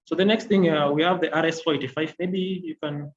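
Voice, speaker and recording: Male technical trainer, fairly high voice, halting and repetitive step-by-step demo delivery, muffled lo-fi sound. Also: fairly even intonation. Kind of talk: software demonstration tutorial. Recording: dull lo-fi audio, faint noise.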